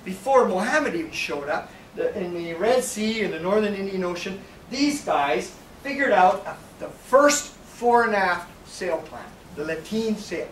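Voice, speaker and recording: An older man giving a casual slide lecture on sailing history, rambling and animated, with frequent restarts and asides. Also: high voice, a little hiss or hum. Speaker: sailing presenter. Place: darkened lecture room with projector. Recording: echoey room from a distance, faint ambience.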